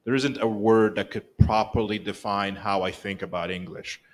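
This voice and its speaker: A middle-aged man with a Rwandan accent preaching a sermon in a church sanctuary, telling the story with lively acted-out voices. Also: on the low side, normal speed. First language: Kinyarwanda. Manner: animated storytelling, acts out quoted lines. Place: church sanctuary, mid-sized room. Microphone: pulpit microphone, filmed by a far camera.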